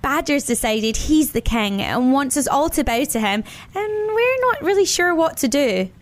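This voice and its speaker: A woman's voice: high-pitched, sing-song, normal speed, voice pushed up. A woman voicing a timid little rabbit in a children's story, with a hesitant, childlike manner. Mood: nervous.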